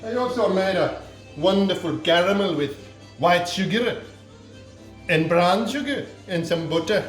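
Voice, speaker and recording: A man voicing a boastful comic Irish home cook in a put-on Irish accent, rambling through a cooking demonstration with deadpan absurd asides. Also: high voice, measured pace. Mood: jokey. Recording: echoey room, somewhat far from mic, faint music.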